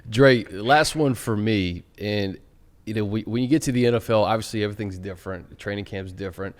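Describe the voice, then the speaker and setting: A man's voice: fairly low pitch, fairly quick. A man hosting a team sports podcast, asking questions in a loose, conversational way. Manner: conversational, hedging questions with fillers. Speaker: podcast host. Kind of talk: interview questions.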